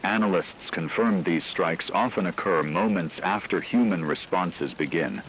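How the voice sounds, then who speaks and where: A man plays a grave radio news anchor with a low-pitched voice. He reads dramatic disaster reports in a formal, deliberate way. A faint rain-like noise sits behind his words. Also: fairly bright tone, low-quality recording. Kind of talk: scripted dramatic news narration.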